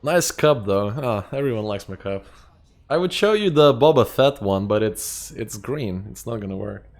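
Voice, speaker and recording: Chatty Lithuanian-accented man, low voice. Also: animated, moderate pace. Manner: rambling, filler-heavy, chatty. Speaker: game streamer. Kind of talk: casual live-stream chat.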